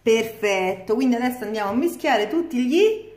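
A woman's voice: fairly high pitch, animated, normal speed, darkish tone. Encouraging mom cooking with her kid. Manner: coaxing, encouraging, praising each step. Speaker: mother cooking with her young daughter. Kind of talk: casual home cooking video. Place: home kitchen.

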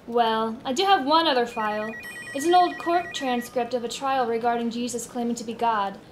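A woman's voice: a little high, normal speed, a little hiss or hum, lightly acted, low-quality recording. A woman doing a flirty records clerk. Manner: flirty, coy.

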